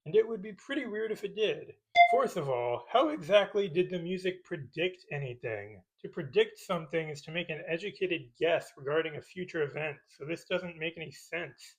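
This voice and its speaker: A man's voice: on the high side, lively intonation, normal speed, hardly any pauses, slightly rough, rough recording. A man delivering scripted comedic commentary in a sarcastic, pedantic manner as a video host. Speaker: host of a movie-criticism parody video.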